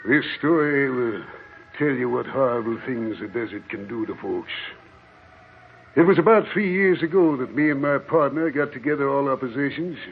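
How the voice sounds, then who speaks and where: An actor playing a rough, solitary desert prospector in an old-time radio horror drama, with folksy Western slang and a storyteller's manner. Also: male voice, mid-range voice, normal speed, close to an everyday voice. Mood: storytelling. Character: rough desert prospector living alone, telling grim stories. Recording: tinny, noisy old radio recording.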